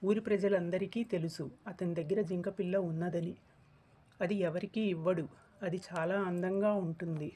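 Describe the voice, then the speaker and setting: Woman voicing a husky, gossipy village headman. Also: on the low side, fairly even intonation, normal speed, dark tone, pitched below the performer's own voice. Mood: deferential. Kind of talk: acted cartoon story dialogue.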